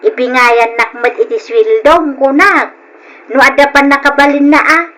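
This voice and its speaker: A woman's voice: high voice, fairly even intonation, normal speed, a bit bright. An actress playing a stubborn, demanding older mother-in-law in a radio drama, brash and insistent, with a Filipino accent. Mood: demanding, brazen. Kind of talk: Ilocano radio drama acting.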